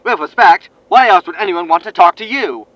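A man's voice: sits high, animated, fairly quick, a bit bright, dry recording, highs cut off. An actor playing a brash, smug male reporter in a sci-fi comedy audio drama, with an insistent, theatrical way of interviewing. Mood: pushy, smug.

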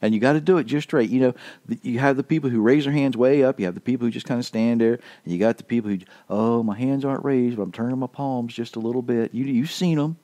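A man's voice: mid-range voice, fast, dark tone, no echo. A man preaching a casual podcast sermon in an earnest, emphatic way, going back over his key points, his voice a little worn. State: slightly losing his voice.

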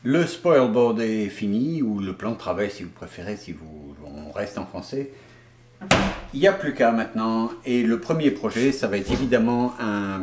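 A man's voice: on the low side, darkish tone. A man in his forties, a woodworker, explaining his machine setup in a casual, hesitant way with many fillers, recorded in his workshop. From France. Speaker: woodworker and YouTuber. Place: large wood workshop, indoors.

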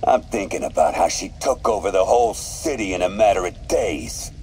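Deep gravelly crime boss. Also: male voice, animated, normal speed, a little mellow, slight background noise. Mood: scheming. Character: menacing, calculating male crime boss. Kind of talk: video game voice acting.

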